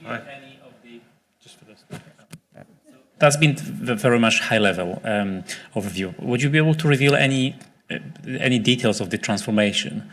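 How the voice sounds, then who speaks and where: A man's voice: medium pitch, moderate pace, pauses often, a bit bright. A man in an audience putting a spontaneous question to a speaker, in hesitant, broken phrases. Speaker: audience member asking a question.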